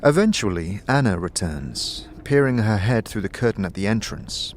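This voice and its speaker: Low-voiced male audio drama narrator. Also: sing-song, moderate pace, slight background noise. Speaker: narrator and game master. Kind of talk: scripted second-person audio drama narration.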